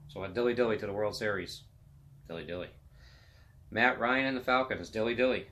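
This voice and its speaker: Upbeat gravelly man in his fifties. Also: on the low side, fairly bright tone. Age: 50s. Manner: enthusiastic, upbeat, motivational. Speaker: vlog host. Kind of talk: casual sports and fitness chat.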